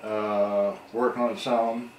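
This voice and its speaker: A middle-aged man thinking aloud in a halting, rambling way during a casual live repair demonstration. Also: fairly low pitch, little pitch movement. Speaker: hobbyist electronics repairer on a livestream. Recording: distant mic, echoey room, background noise.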